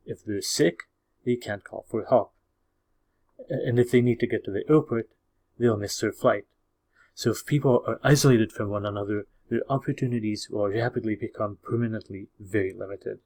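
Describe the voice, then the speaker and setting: A man whose first language is Hungarian hosts a video and delivers a scripted opinion monologue in a measured, explanatory way, often posing rhetorical questions to the viewer. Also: lowish voice, darkish tone. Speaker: video host.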